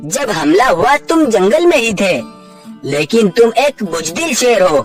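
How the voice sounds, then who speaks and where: A man voicing an earnest talking jungle animal in a children's cartoon, with declamatory, deliberate delivery. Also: high voice, very animated. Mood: reproachful. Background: background music.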